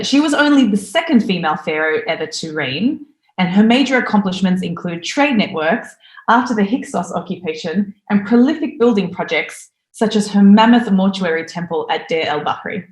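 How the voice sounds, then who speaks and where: A woman in her thirties gives a recorded talk from a home room, speaking at a middle pitch with plenty of eagerness. Her voice rings a little in the room around the webcam microphone, so the sound is roomy rather than close. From Australia. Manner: enthusiastic, lively presenter. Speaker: conference speaker.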